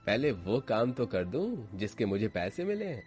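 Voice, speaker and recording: A man voicing a cold, menacing hired killer in a cartoon story, speaking curtly and fast. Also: lowish voice, big pitch swings, over loud music. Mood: cold menace. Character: cold hired killer posing as a rag-picker. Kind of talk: cartoon moral story dialogue.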